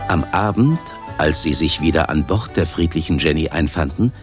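A man narrating a children's sea adventure in measured, articulate scripted storybook style. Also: rumbling low, faint music behind. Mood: calm narrating.

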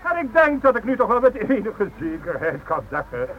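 Titled benefactor, proud and formal, swooping intonation, radio drama, dull recording with slight noise. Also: male voice, high-pitched, sing-song, normal speed. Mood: proud, ceremonial. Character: wealthy, titled benefactor, formal, proud and self-important gentleman. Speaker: actor. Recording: muffled, slightly noisy old radio recording.

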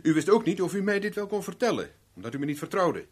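A self-assured private investigator in a seventies radio play. His pitch swings widely as he probes with dry irony, and the voice has a slightly husky, rough edge. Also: sing-song, quick pace, heavily acted. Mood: pressing, insistent. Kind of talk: 1970s Dutch radio drama acting.

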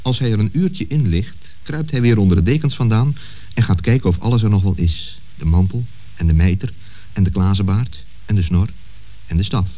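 A man's voice: on the low side, normal speed. A man narrating a children's radio play, telling the story directly to young listeners. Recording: muffled old radio recording.

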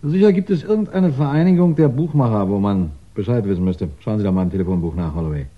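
An actor playing a measured, authoritative male chief inspector in a 1960s German radio crime play. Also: lowish voice, lively intonation. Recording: dull 1960s radio recording.

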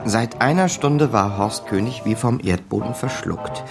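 An actor playing a wily small-time crook who narrates his own story in a radio crime play, with a gravelly voice. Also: medium pitch, unhurried, over music. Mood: wry, storytelling. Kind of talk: scripted first-person narration over music.

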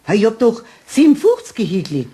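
A woman's voice: a little high, huge pitch swings, fast, mellow, slightly rough, exaggerated voice. Chatty older Bavarian shopkeeper woman. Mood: puzzled, insistent. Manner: chatty, homely, insistent. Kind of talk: German children's radio play acting.

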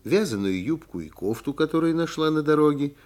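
A man narrating an animal fairy tale in an expressive, scripted storytelling style. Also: a little high, brisk, close to an everyday voice. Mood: vivid storytelling.

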